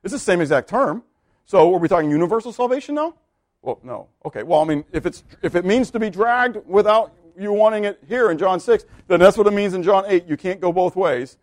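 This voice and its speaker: A man preaching a sermon, animated and full of questions for his listeners. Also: high-pitched, fairly quick, dry recording, studio quality. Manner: animated, asks the congregation questions. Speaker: pastor.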